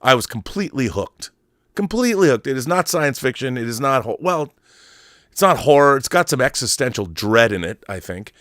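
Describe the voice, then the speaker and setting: A man's voice: medium pitch, very animated, brisk, fairly bright tone, no echo, studio quality. A middle-aged man giving casual, rambling opinion commentary in an emphatic, conversational way, often digressing. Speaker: host of a film and book commentary show.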